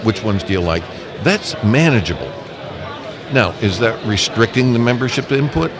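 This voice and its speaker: Busy crowd chatter surrounds a man with a fairly low voice. He talks casually as an interview guest, laying out his points step by step with emphasis, as a representative at a live convention event. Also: lively intonation, moderate pace. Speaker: amateur radio association representative, interview guest.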